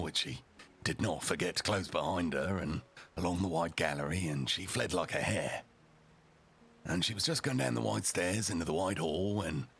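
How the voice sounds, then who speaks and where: A man voicing a rough and ready, foul-mouthed storyteller, narrating a storybook tale theatrically with deliberate, dramatic pauses. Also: sits low, a bit bright, full character voice.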